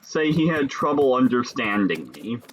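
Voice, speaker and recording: A young man from Chicago with a Chicago accent, chatting casually with viewers during a game stream and musing between pauses. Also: medium pitch, big pitch swings, low-quality recording. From Chicago, Illinois. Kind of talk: casual live-stream chat while gaming.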